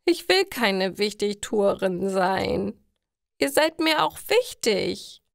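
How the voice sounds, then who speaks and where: A woman's voice: mid-range voice, sing-song, slow pace, gravelly, no room sound, clean studio sound, full character voice. A woman voicing a bossy but fragile young girl in a story, emphatic and pleading. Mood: tearful, sorry. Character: bossy young girl, later tearfully sorry.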